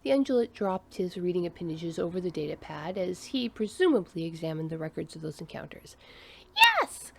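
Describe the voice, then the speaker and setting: A woman's voice: lowish voice, animated, a little fast, gravelly, hardly any pauses, warm tone, breathy edge. A woman narrating a science fiction story as the author-reader. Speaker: audiobook narrator.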